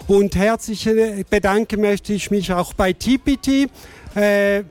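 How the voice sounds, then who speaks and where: A man, an organizer giving a formal thank-you speech at an exhibition opening, halting often to find his words. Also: sits high, a little mellow, clean tone, soft background music. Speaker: project organizer.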